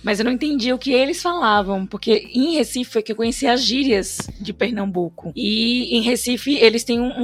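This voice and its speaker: A young Brazilian woman with a northeastern accent tinged by São Paulo speech, chatting casually and rambling with many restarts and "né" tags. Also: a little high. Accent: Brazilian Northeastern mixed with São Paulo. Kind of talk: casual conversation.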